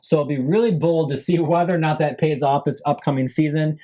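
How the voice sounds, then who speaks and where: A man's voice: a little high, fairly even intonation, a little fast, mellow. A man hosting a solo sports podcast, running through a team roster in a casual, upbeat way and saying he is losing his voice. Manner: upbeat, lists names with rising emphasis, frequent fillers. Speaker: podcast host.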